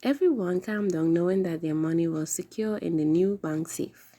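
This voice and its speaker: A woman hosting a children's story time, reading aloud in an animated, expressive way. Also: lowish voice, moderate pace.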